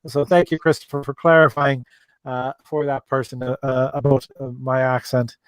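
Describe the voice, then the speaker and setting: A man's voice: mid-range voice, level tone, moderate pace, smooth, dry recording. A man with an Irish accent hosting a live call-in chat show and reading out viewer questions, with many ums and restarts. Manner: hesitant, many fillers and restarts. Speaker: livestream host.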